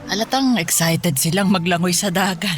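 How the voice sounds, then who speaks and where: A woman playing a kindly older grandmother in a radio drama, speaking gently and with approval. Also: lowish voice, normal speed, faint music behind, natural voice. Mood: fond, admiring.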